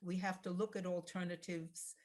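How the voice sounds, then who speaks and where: A woman's voice: fairly low pitch, little pitch movement, normal speed. A woman speaking as an election candidate, answering forum questions in an emphatic, blunt way. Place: online video call.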